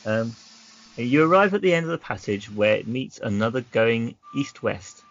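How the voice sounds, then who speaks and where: A man acting as game master, reading gamebook narration aloud in an unhurried way and mixing it with casual chat. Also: mid-range voice, expressive. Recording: dull lo-fi recording.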